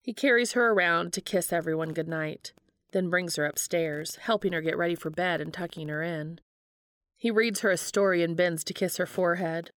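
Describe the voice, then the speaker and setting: Lower-pitched woman reading a story aloud. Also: normal speed, a bit bright, dry recording. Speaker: fan fiction reader. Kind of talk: audiobook-style story narration.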